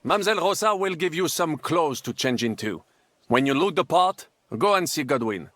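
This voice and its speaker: A suave foreign gentleman tutor in a video game. His voice is fairly high and very animated, with a courteous, instructive manner and a dry, close sound. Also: moderate pace, no echo. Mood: smooth, confident.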